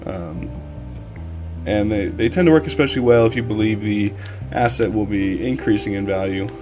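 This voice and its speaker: Hoarse man, loose rambling stream talk. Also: lowish voice, moderate pace, music in the background. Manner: relaxed, rambling with many fillers. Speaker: livestream coding instructor. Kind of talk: casual live-stream tutorial.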